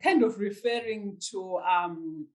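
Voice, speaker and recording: A woman from Zimbabwe, recalling a story in a hesitant, halting way with many restarts and easy laughter. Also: medium pitch, darkish tone. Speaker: interviewee. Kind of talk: casual recollection.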